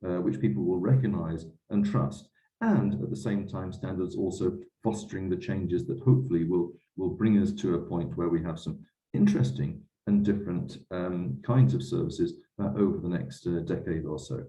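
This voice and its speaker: A man moderating a webinar, speaking in a measured, formal and somewhat hesitant way. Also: low-pitched, warm tone. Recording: echoey room, slightly dull sound.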